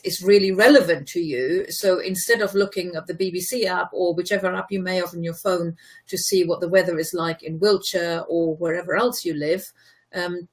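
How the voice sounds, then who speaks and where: A woman's voice: on the low side, poor audio. A woman whose first language is German, from Germany, tutoring in a casual chat, patient and explanatory, laughing easily.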